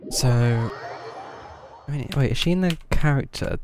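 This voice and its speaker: A man's voice: on the high side, normal speed. A man giving casual, dry live commentary as he plays through a game. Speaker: game streamer. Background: faint game music.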